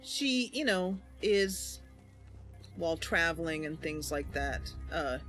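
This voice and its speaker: A woman's voice: deep voice, animated, slow pace, a bit bright, faint music behind. A woman hosting a gaming channel, giving casual, chatty commentary to viewers as she plays. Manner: chatty, talking to viewers while playing.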